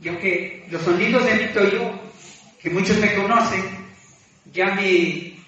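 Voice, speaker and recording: High-voiced male preacher in an echoey room. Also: sharp tone. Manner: halting, self-deprecating, repeats phrases. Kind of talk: informal sermon, exhorting and confessing. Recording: echoey room, distant mic, muffled, music behind.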